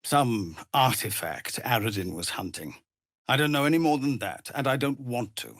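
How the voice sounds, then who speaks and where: An actor playing a weary, dutiful tiefling ex-soldier who leads refugees in a video game, speaking in a grave, measured, earnest way. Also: male voice, mid-range voice, fairly bright tone, no room sound, studio quality, natural voice. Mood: weary, regretful. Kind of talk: dramatic video game character acting.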